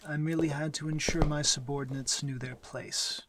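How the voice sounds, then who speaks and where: A man performs a male vampire lord for an intimate ASMR roleplay. His speech is formal and measured, courteous but used to being obeyed. The soft, protective voice often sinks toward a whisper, over light background noise. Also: on the high side, fairly even intonation, vocal fry. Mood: gentle, formal.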